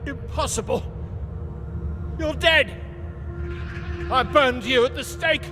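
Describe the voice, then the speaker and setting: A man's voice: very high voice, slow, over music. A man playing a frightened, agitated character in a video game, exclaiming in panic. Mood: terrified. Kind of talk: theatrical video game acting.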